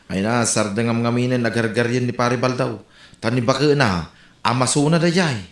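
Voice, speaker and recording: A friendly, gossipy village man with an Ilocano accent, played by a man, with a mid-pitched voice. He chats and hands out advice like an old buddy. There is room echo around him. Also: brisk, natural voice. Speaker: actor in a comedy skit. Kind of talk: comedy skit acting.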